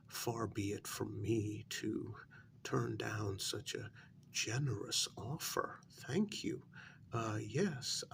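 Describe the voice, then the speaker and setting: A man playing a polite, hesitant working man placating his boss in a soft, partly whispered ASMR role-play, halting and deferential. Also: on the low side, vocal fry, a little hiss or hum, full character voice. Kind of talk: soft-spoken ASMR role-play, one side of a conversation.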